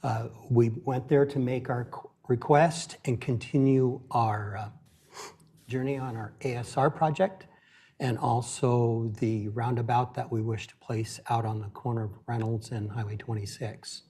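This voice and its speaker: A man's voice: mid-range voice, steady tone, unhurried, warm tone, breathy edge. A man chairing a city council meeting, speaking plainly with many "uh" fillers into a meeting room microphone. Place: council chamber.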